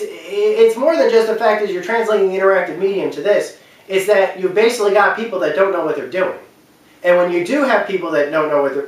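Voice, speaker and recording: A man giving an unscripted opinion talk as a commentator, speaking emphatically and repeating phrases for stress. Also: fairly high pitch. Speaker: video commentator. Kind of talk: unscripted opinion talk about film and games. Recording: very echoey room, distant mic.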